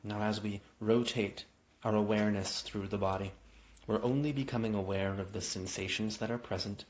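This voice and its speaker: Male meditation guide, lower-pitched voice, gentle invitational delivery with lingering pauses, slightly dull lo-fi sound. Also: steady tone. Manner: gentle, unhurried phrasing with long pauses, invitational. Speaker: yoga nidra guide. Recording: dull, muffled lo-fi audio.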